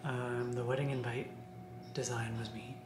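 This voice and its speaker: A woman's voice: extremely low pitch, level tone, normal speed, roomy sound, a little hiss or hum. A woman in her thirties chatting casually as a vlog co-host. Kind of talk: casual chat. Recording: off-mic with loud music behind.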